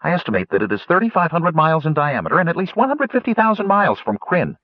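A man voicing an excitable, know-it-all male gnome scientist who lectures in rapid, precise bursts. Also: a little high, no room sound, dull audio, voice pushed up. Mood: excited.

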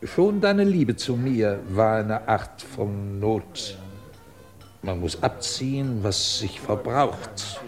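An actor playing a ruthless, scornful British scientist in a 1950s German radio drama, cold, cutting and commanding. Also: male voice, on the low side, animated, slow, soft background music. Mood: cold, intimate.

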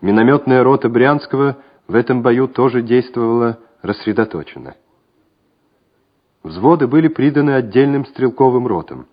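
A man narrating a wartime radio drama in a measured, solemn, scripted way. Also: medium pitch, natural voice. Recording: dull old radio recording with music.

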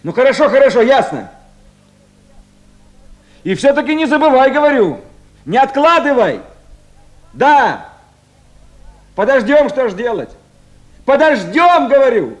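A busy official on night duty in an old radio drama. The voice is high for a man and swings expressively, brisk and familiar, with a caring streak. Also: sing-song, heavily acted. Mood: brisk, insistent. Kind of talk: 1970s Soviet radio play acting.

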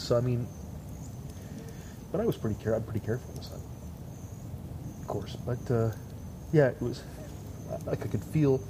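A man with a stuffed-up, congested voice chatting casually, rambling and joking, swearing now and then. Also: mid-range voice. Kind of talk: casual chat. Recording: outdoor noise, crickets behind.

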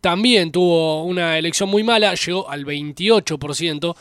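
A man's voice: a little high, normal speed, fairly bright tone, smooth, no room sound, clean studio sound. A man hosting a talk show, reporting political news in a conversational, slightly hesitant way. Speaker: radio host. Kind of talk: news presenting chat.

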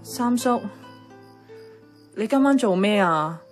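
An actress playing a caring, affectionate and slightly evasive niece in a Hong Kong Cantonese radio drama, conversational and soft-hearted. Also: female voice, medium pitch, animated, slow pace, soft background music. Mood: concerned, evasive.

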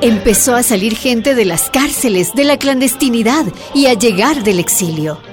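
A woman's voice: a little high, lively intonation, normal speed, lightly acted. A woman narrating a Spanish-language radio drama as its storyteller. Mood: triumphant. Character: woman narrator recounting a miners' hunger strike. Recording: music and crowd behind the voice.

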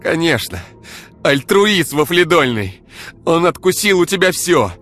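A man voicing a fierce, sharp-tongued young woman who shrieks when angry. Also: animated, a little fast, faint music behind, lightly acted. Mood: furious. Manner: shrill, shrieking when enraged.

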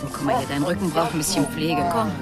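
An actress plays a helpful, teasing woman who coaxes and soothes. Her voice is on the low side, with prominent music behind this radio drama scene. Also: steady tone, quick pace, close to an everyday voice. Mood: coaxing. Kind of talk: radio play dialogue.

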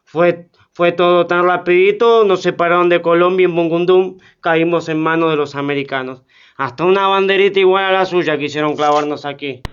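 A man acts an indignant Caribbean everyman in a radio play. His high, smooth voice is colloquial and emphatic, with a Caribbean Spanish accent. The audio is a little dull. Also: fairly even intonation, moderate pace, natural voice. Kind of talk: radio drama dialogue.

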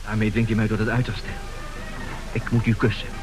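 An actor in an old radio comedy playing a polite, evasive, hot-headed gentleman suitor with a formal, hesitant, theatrical manner. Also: fairly low pitch, completely flat delivery, brisk, natural voice. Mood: indignant, combative. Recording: old radio play, music behind.

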